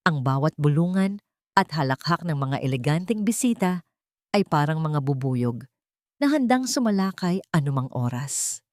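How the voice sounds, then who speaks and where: Woman, low pitch, dry sound, theatrical first-person story narration, measured delivery. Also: lively intonation, a little mellow, no echo. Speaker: audio drama narrator.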